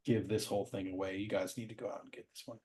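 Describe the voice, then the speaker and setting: A man's voice: fairly low pitch, little pitch movement, fast talker, darkish tone. A man hosting a show, chatting casually and admiringly as he comments on comic art. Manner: admiring, informal, says "man" often. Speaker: show host.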